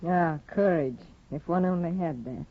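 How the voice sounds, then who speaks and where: Cool, manipulative wife, tinny old radio. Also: female voice, lowish voice, moderate pace. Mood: taunting, falsely sweet. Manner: poised, cool, theatrical. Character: discontented, proud, manipulative young married aristocratic woman. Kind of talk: 1950s radio drama acting. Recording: muffled, phone-like old radio broadcast, roomy.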